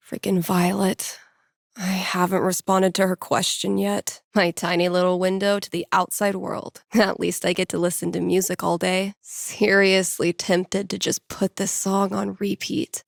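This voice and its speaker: An actress playing a sardonic, geeky young woman who works as a record store clerk and radio host, speaking in a casual, deadpan video game monologue. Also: mid-range voice, gravelly, no room sound, studio quality. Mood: casual, musing. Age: young adult. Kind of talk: naturalistic video game voice acting, muttered inner monologue.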